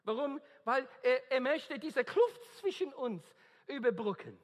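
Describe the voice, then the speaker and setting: An Australian man preaches with earnest emphasis, stumbling now and then over German as a second language. He talks slowly and deliberately. His voice rings out in a large hall with a long, trailing reverb. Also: extremely high pitch, animated. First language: English. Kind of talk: evangelistic sermon.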